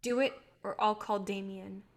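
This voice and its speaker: A woman's voice: medium pitch, steady tone, moderate pace, vocal fry, natural voice. A woman in a staged play reading, playing an exasperated but level-headed film colleague who is reproving and insistent. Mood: firm, reproving.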